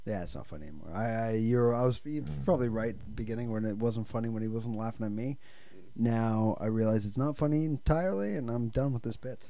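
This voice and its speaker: A man's voice: mid-range voice, quick pace, mellow. A young man co-hosting a casual comedy chat who keeps riffing into goofy bits. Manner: goofy, riffs into impressions. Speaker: podcast co-host.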